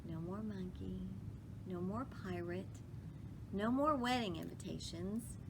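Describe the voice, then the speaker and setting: A woman reading a children's story aloud with emphatic phrasing, pausing to put questions to listeners. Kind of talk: expressive children's read-aloud with asides to listeners. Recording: clearly noisy with low rumble.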